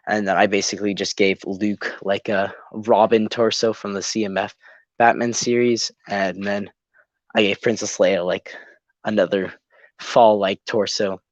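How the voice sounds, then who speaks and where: A man's voice: lowish voice, measured pace, dry recording, muffled recording. A teenage boy from Canada, a bit congested from being sick, chatting casually on a live stream and rambling with lots of ums. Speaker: YouTube channel host. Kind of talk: casual live-stream chat while building.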